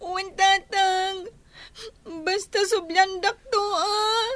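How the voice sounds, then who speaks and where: A woman's voice: very high-pitched, fairly even intonation, drawn-out words, a crowd in the background, full character voice. A woman voicing a meek, mistreated young schoolgirl in a radio drama, hesitant and pleading. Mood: tearful, pleading. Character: timid, mistreated grade-four girl, pleading and obedient.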